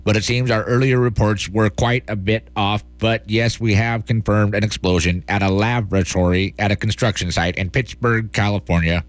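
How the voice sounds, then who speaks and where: A man's voice: lowish voice, steady tone, moderate pace, hardly any pauses, dry recording, lightly acted. A man playing an alarmist newscaster, urgent and overblown, in a parody breaking-news bulletin. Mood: mock serious. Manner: urgent, dramatic.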